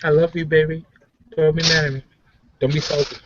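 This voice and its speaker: A man's voice: a little high, little pitch movement, a little fast. A man chatting casually as a player in a tabletop game, with a jokey, slangy way of talking. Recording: muffled lo-fi recording with background hiss.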